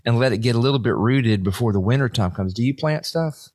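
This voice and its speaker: A man's voice: medium pitch, expressive, fast talker, a little mellow, no room sound, studio quality. A man hosting a podcast, who talks in a chatty, folksy way and likes friendly teasing. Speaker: podcast host. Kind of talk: podcast interview.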